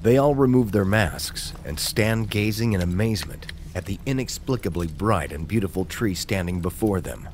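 A man's voice: sits low, lively intonation, moderate pace, few pauses, a little hiss or hum. A man reading scripted dramatic narration as the narrator of an audio drama.

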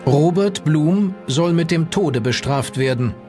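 An actor playing a stern military court officer, reading out in an official, declamatory manner for a documentary drama. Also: male voice, a little high, animated, takes it slowly, dark tone, faint music behind.

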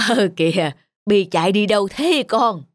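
A woman voicing a gentle, lonely elderly country grandmother in an animated family drama, homely and apologetic. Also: mid-range voice, unhurried, noisy background, close to an everyday voice. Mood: obliging. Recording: music under the voice.

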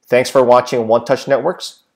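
A man's voice: mid-range voice, little pitch movement, moderate pace, darkish tone. A man giving a plain, methodical step-by-step how-to narration, with frequent filler sounds, as a tech tutorial presenter.

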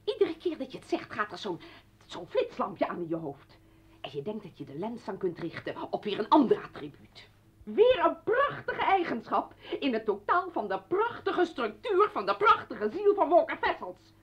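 A woman's voice: fairly high pitch, huge pitch swings, moderate pace, slightly rough, heavily acted. An actress playing an anguished, accusing mother confronting her former husband in a radio play, bitter and pleading. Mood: bitter, mocking. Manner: bitter, pleading, breaking into whispers. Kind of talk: Dutch radio play acting. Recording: dull old radio recording.

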